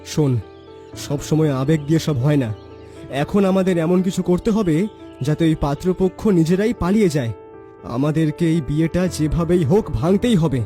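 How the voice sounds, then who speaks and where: A cunning young man voiced by a male actor talks in a slightly high, mellow voice over faint music. He is the bossy schemer of a cartoon, coaxing and teasing with full confidence. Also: normal speed, close to an everyday voice. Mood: urging. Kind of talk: dramatic cartoon voice acting.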